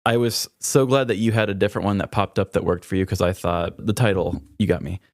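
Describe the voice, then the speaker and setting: A man's voice: low voice, steady tone, fairly quick, dry recording, studio quality. A man with an American accent co-hosts a podcast, bantering casually and telling stories. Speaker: podcast co-host.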